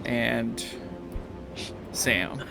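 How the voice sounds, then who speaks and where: A man with a gravelly, creaky voice runs a tabletop game at an unhurried pace. He narrates turns and outcomes informally and matter-of-factly. Music plays clearly behind him. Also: mid-range voice. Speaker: game master.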